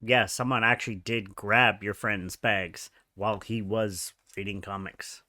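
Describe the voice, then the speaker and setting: An actor playing a blunt, sarcastic, unimpressed shop worker in a comedy radio play. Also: male voice, fairly low pitch, fairly even intonation, measured pace, sharp tone, no echo, studio quality.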